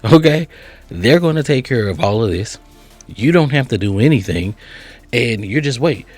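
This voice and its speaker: A man's voice: medium pitch, lively intonation, normal speed, breathy edge, faint music behind. A man hosting a casual step-by-step tutorial, chatty and instructional, often checking in with short tags. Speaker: host of software tutorial channel.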